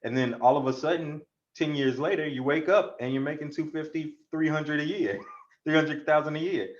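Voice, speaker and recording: An American man on a panel giving casual career advice over a video call in a halting, easygoing flow. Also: on the high side. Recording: slightly dull video call audio.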